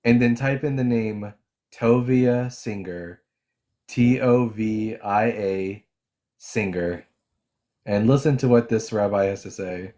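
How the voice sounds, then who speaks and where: A man in casual conversation, talking quickly and eagerly as he recommends a video and a book. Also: lowish voice, warm tone. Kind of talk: casual chat.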